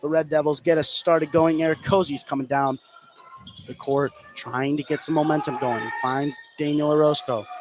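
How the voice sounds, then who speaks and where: Higher-voiced American man calling basketball over gym noise. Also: level tone, normal speed. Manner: follows the action in running detail. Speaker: play-by-play basketball announcer. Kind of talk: live sports radio play-by-play commentary. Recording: clear gym noise behind commentary. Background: basketball game ambience.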